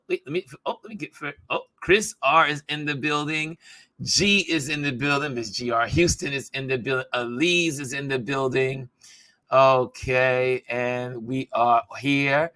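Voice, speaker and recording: A man with a bright, forceful voice that has gone a bit hoarse, hosting a casual livestream. He is chatty and greets people as he goes, and the sound is close and dry. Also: mid-range voice, unhurried, no echo. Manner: chatty, greets viewers by name. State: hoarse, voice going from talking. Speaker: talk show host.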